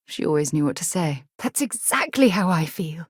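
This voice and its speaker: A low-pitched woman as a principled space explorer in a sci-fi game. She is composed and articulate, earnest with a wry edge. The recording is dry and close. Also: lively intonation, normal speed, husky, dry recording. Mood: wistful, troubled. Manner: earnest, thoughtful, dryly wry. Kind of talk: video game voice acting.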